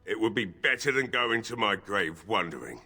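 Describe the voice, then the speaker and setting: A man's voice: fairly low pitch, lively intonation, moderate pace, sharp tone, husky, soft background music, heavily acted. A man acting a grieving, drunken, bitter village blacksmith in a fantasy video game, with a weary, sardonic drawl. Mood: pleading, insistent. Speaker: voice actor in a fantasy role-playing game.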